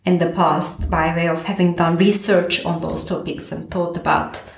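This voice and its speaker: A woman from Turkey, a pro bono director, answering interview questions in a lively way, thinking aloud with many fillers and restarts. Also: on the low side, normal speed, gravelly, reverberant. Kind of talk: conversational podcast interview.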